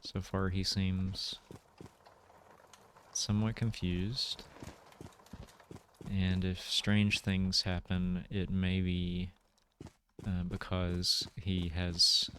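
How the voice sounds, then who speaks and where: Slow, low-voiced man musing over games. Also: little pitch movement, fairly bright tone, a little hiss or hum. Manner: hesitant, musing, thinking aloud. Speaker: video game player and commentator. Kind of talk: casual gameplay commentary. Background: music.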